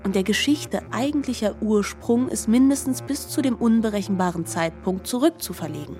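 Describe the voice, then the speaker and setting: A woman reading literary narration for radio, measured and articulate. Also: medium pitch, animated, a little mellow, soft background music.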